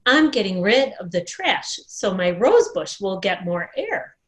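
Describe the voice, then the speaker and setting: A woman voicing an earnest, proud, excited little girl in a storybook. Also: medium pitch, a little slow. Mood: eager, confident. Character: eager, proud little girl who loves roses.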